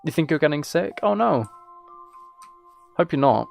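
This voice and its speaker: A man's voice: fairly high pitch, lively intonation, moderate pace, creaky, soft background music. A twenty-year-old man chatting casually as he streams a game, rambling along in a joking, teasing way. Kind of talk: casual chat while playing a game.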